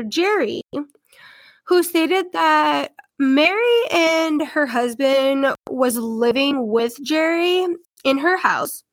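A woman's voice: high-pitched, a bit bright, no room sound. A young woman with a head cold and a congested voice, hosting a casual true-crime podcast in a chatty, rambling way. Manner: chatty, rambling, filler-heavy. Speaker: podcast host.

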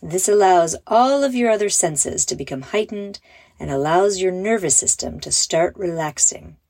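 A woman leading a guided meditation for children in a soft, breathy voice, with a soothing, gentle and encouraging manner. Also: fairly low pitch, lively intonation, normal speed, dry recording. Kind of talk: guided meditation, scripted narration.

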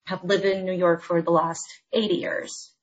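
Measured Argentinian-born woman, lowish voice. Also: flat delivery, poor audio. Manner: measured, explanatory, structured points. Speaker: HR consultant guest. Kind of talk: podcast interview.

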